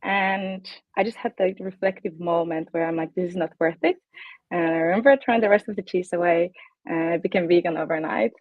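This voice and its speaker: A woman's voice: fairly low pitch, steady tone, brisk, no echo, narrow, tinny audio. A woman in her late twenties from Finland with a Finnish accent, chatting casually as a guest fitness coach in a bubbly way with plenty of ums. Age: 20s. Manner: bubbly, frequent ums and laughs. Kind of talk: casual interview chat.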